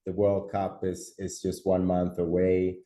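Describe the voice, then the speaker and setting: A middle-aged man from Sweden, a startup CEO answering interview questions in a halting way full of fillers and repeated words. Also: deep voice, completely flat delivery, dark tone. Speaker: startup CEO, podcast guest. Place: office. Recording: roomy echo on a call.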